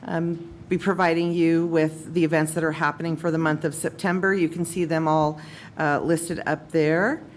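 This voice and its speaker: Lower-voiced woman giving a relaxed update. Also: normal speed, a little hiss or hum. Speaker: school superintendent. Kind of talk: formal update at a board meeting. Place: school district boardroom.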